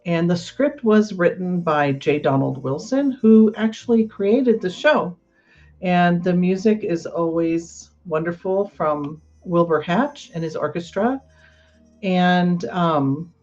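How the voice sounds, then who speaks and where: A woman's voice: fairly low pitch, a little mellow. A woman speaking as a podcast host, giving a casual, informal introduction. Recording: slightly dull, faint music behind. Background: faint music.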